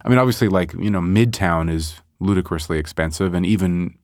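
A middle-aged man from Montreal talks about his work in a casual interview. His voice sits very low, and the sound is close and dry. He thinks aloud, trailing off and restarting often. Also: a little fast, a little mellow, no room sound. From Montreal, Canada. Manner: hesitant, many restarts and fillers, reflective. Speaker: artist guest.

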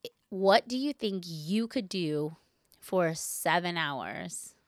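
A woman's voice: fairly low pitch, expressive, slow, no echo, studio quality. A woman co-hosting a podcast in casual conversation, telling a story in informal, slangy language with lots of "like". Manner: informal, slangy, heavy use of "like".

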